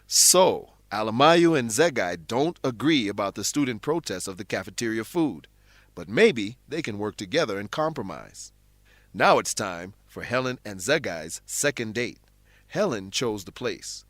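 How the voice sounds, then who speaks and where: A man's voice: fairly low pitch, animated, unhurried, a bit bright, dry recording. A man hosting an educational radio drama, reading scripted narration and explaining vocabulary in an instructional manner. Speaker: host and English teacher.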